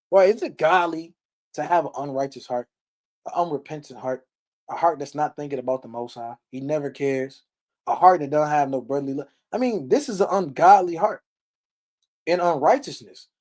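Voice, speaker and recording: A man's voice: on the high side, a little mellow. A man giving read-along Bible teaching, reading verses and explaining them in informal, emphatic speech peppered with check-in tag questions. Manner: emphatic, frequent tag questions like "right?" and "okay?"; colloquial, informal preaching.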